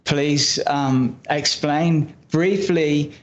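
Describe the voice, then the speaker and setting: A man in his thirties hosting an interview podcast, speaking with enthusiasm and slowing down for his introductions. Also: on the high side, fairly even intonation, a little hiss or hum. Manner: enthusiastic, slow and deliberate intros. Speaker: podcast host. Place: studio table in a coworking space. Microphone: boom mic on the table. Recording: dull, muffled sound.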